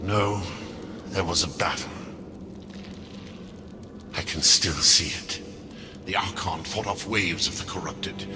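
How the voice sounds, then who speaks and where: An actor playing a tormented, unstable angel scribe in a video game, speaking in a formal, archaic, theatrical way. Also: male voice, low-pitched, slow, long pauses, music in the background. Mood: haunted, hushed.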